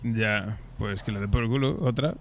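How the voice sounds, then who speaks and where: A man's voice: on the low side, moderate pace, faint music behind. A man with a Spain Spanish accent, a streamer bantering in a roleplay game with crude, teasing jokes.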